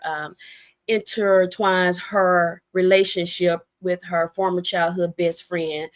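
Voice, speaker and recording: Chatty American woman, slightly low voice. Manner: chatty, storytelling, conversational asides. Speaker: guest, debut novelist. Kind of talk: casual interview talk.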